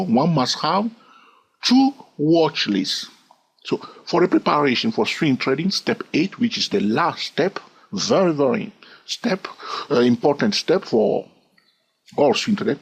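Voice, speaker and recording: A man's voice: mid-range voice, gravelly, breathy edge. A man teaching a swing trading lesson over a screen recording, repeating his points and often checking in with "all right?". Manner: animated, repetitive, keeps asking "all right?". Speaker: trading instructor.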